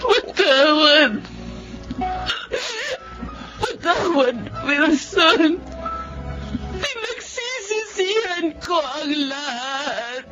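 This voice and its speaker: A woman's voice: high-pitched, big pitch swings, extremely slow, pauses often, full character voice. A woman playing a desperate, remorseful adulterous wife in a Tagalog radio drama, pleading and tearful, with a Filipino accent. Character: remorseful, desperate adulterous wife, defiant toward her lover. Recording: dull lo-fi audio under loud music.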